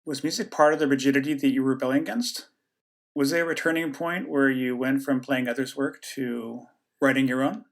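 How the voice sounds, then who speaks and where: A man's voice: medium pitch, fairly even intonation, fairly quick, gravelly. A man hosting a podcast, asking direct, probing questions. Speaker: podcast host. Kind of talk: podcast interview.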